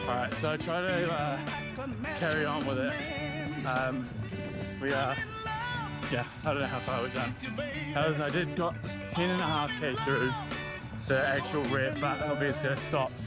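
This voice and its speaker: A man's voice: medium pitch, steady tone, moderate pace, long pauses. A man, out of breath while talking to camera outdoors on a run, rambling casually with lots of filler words. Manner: rambling, self-deprecating, lots of "like". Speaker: running vlogger. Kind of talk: casual vlog talk while running. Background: loud music.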